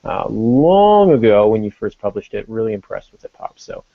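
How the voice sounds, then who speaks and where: A man with a fairly low voice and plenty of vocal fry hosts a podcast. He talks informally and conversationally. The sound is dry and a little dull. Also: sing-song, moderate pace, no room sound. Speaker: podcast host. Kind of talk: casual podcast hosting. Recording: dull lo-fi audio.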